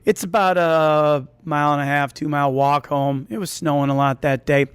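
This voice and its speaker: High-pitched man telling a rambling comic story. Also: fairly even intonation, normal speed, clear, resonant tone, no room sound. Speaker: storyteller at a live comedy story night. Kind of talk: comedic personal storytelling to an audience.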